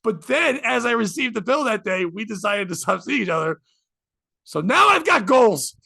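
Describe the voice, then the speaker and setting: A man in his forties with a high, gravelly and bright voice. He chats candidly and rambles as a podcast host, swearing freely. The sound is dry and close. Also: sing-song, normal speed, dry recording. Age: 40s. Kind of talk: casual chat.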